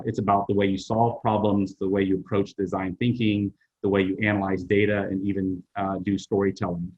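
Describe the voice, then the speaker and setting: Middle-aged man, Vietnamese native, low voice, hesitant-measured delivery over dull call audio. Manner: measured, many "uh" pauses. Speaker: HR executive guest. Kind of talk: interview answers.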